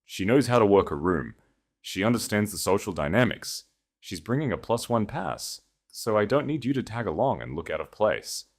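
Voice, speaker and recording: A man's voice: on the low side, sing-song, moderate pace, pitched above the performer's own voice. A man voicing a dismissive, ambitious, snobbish husband with casual contempt in an audiobook.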